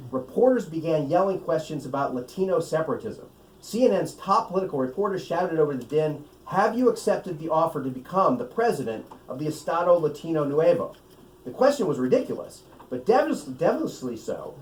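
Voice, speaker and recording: An American man, an author reading his own novel to a live gathering with off-script asides, in an animated, wry way. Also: fairly high pitch. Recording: echoey room, distant mic, faint noise.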